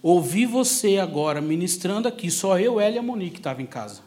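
A man's voice: sits high, expressive, fairly quick, dark tone, faint music behind. A man with a Brazilian São Paulo accent preaching a sermon through personal testimony, conversational but emphatic, repeating key phrases for effect.

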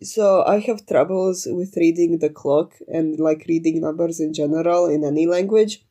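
A woman's voice: deep voice, normal speed, warm tone. A young adult woman co-hosting a podcast, chatting casually and off the cuff in a rambling, sweary, informal way. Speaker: podcast co-host. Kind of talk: casual unscripted chat.

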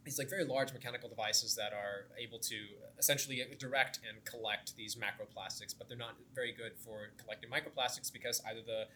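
A man's voice: mid-range voice, fairly even intonation, fast, few pauses, sharp tone, slight background noise. A young man in his twenties from Florida, a graduate student co-founder chatting casually and explaining at length with fillers. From Florida, United States. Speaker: grad student startup co-founder. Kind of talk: casual interview talk.

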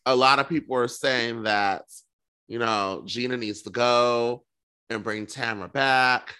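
A man's voice: medium pitch, steady tone, a little slow, bright, forceful tone, smooth. A man co-hosting a casual, gossipy chat show, talking in sassy, campy slang. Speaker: podcast co-host. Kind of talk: casual gossipy chat about reality television.

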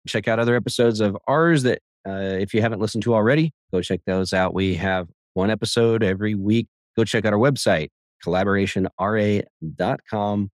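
A man's voice: lowish voice, fairly even intonation, brisk, no echo, clean studio sound. A man in his thirties co-hosting a podcast, joking with his co-host and wrapping up the episode in an upbeat way. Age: 30s. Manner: teasing, upbeat, wraps up the show. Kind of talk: podcast conversation.

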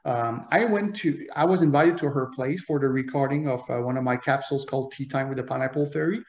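A man whose first language is French tells a story as a guest author, animated and full of analogies and fillers. His voice sits at a middle pitch. The sound is roomy and echoey, with a dull, lo-fi tone. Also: fast. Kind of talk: conversational interview.

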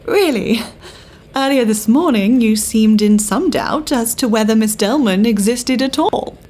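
A woman's voice: fairly high pitch, a little slow, mellow. An actress with a British accent playing a cool, worldly 1930s government agent woman in an audio drama, genteel, dry and arch. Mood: brisk, arch. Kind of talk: full-cast audio drama acting.

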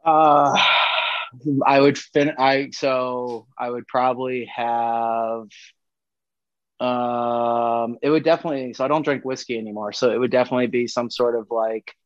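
A man's voice: mid-range voice, fairly even intonation, measured pace, no echo. Guy in his 30s rambling w lots of "like", dry slightly dull podcast sound. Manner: rambling, many fillers, laughs often. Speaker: band member. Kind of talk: casual interview chat.

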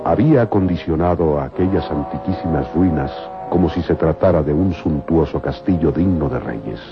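Deep-voiced male radio serial narrator. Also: normal speed. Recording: muffled old radio recording. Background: music.

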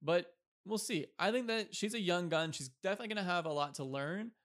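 Man, somewhat high-pitched, sports co-host, conversational analysis. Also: a little fast, a bit bright. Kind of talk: sports talk commentary on a fictional league.

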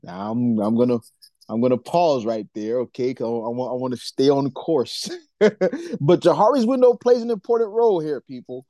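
A man from Nigeria co-hosting a faith-based podcast, talking in an animated, preachy way and acting out the voices he quotes. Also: mid-range voice, normal speed, no room sound, clean studio sound. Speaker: podcast co-host.

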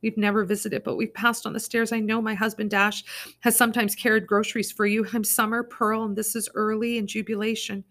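A woman's voice: medium pitch, little pitch movement, fairly quick, a bit bright, voice pushed up, close to an everyday voice. A woman reading aloud, voicing a frightened young mother who pleads and cries. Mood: pleading.

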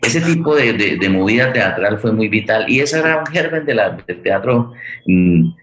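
An echoey, roomy recording of a Colombian man with a low voice. He hosts an interview conversationally, often halting and repeating small words while he searches for a phrase. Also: animated, fairly bright tone. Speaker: host.

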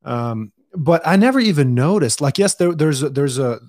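A man's voice: on the high side, expressive, normal speed, darkish tone, no room sound, studio quality. A man who grew up in Dubai, hosting a podcast in casual conversation, often restarting his sentences and laughing easily. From Lebanon, raised in Dubai. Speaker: podcast host.

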